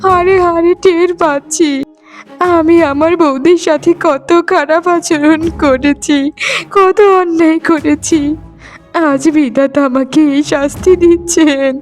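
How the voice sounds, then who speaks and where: Woman playing a tearful young bride, extremely high voice, wailing, pleading, cartoon melodrama, faint music. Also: steady tone, unhurried. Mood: pleading, anguished.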